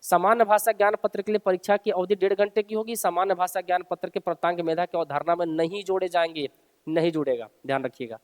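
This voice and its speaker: A male coaching teacher speaks in a notably high voice with a long, hall-like reverb around it. He alternates between reading formal text aloud and explaining it informally. His manner is instructive, and he often restates the important points. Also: steady tone, quick pace. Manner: instructive, repeats and rephrases key points for listeners.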